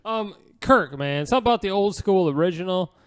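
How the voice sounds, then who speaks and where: Slangy American man with a highish voice. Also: lively intonation, fairly quick, smooth. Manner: informal, slangy. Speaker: podcast co-host. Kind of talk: casual, joking panel chat.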